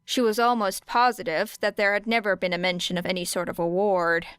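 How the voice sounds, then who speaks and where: A woman's voice: mid-range voice, little pitch movement, brisk, a bit bright, smooth, no room sound. A woman reading scripted fiction as an audiobook narrator.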